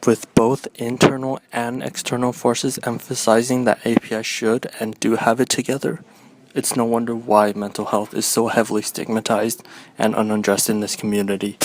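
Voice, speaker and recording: A man reading a scripted documentary narration in a measured, explanatory way. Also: medium pitch, flat delivery, poor audio.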